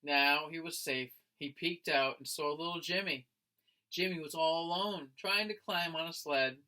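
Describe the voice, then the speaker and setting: A man, a teacher, reading a picture book aloud to young children in an expressive storytelling style. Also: on the high side, rough recording. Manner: expressive, slow, addressing listeners as friends.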